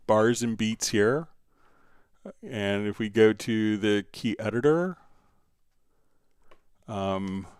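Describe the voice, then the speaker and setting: A man teaches software on a livestream in a smooth, resonant voice at an unrushed, slowish pace. He thinks aloud as he goes, pausing and hesitating while he demonstrates. The sound is close and dry. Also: fairly low pitch, no room sound. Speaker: livestream host and software instructor.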